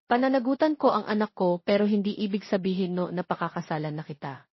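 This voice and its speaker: Woman as a defensive young boyfriend, husky. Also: medium pitch, fast, no echo, highs cut off, close to an everyday voice. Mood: cold, threatening. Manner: cold, defensive, threatening. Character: defensive, cold young man torn between two women.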